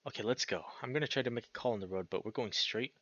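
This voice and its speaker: A man's voice: medium pitch, fast talker, slightly rough, no room sound, lo-fi sound. Man voicing a worried, protective bear man. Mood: firm, worried. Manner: hushed urgency, tender with his partner. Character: worried, protective male anthropomorphic bear from a visual novel.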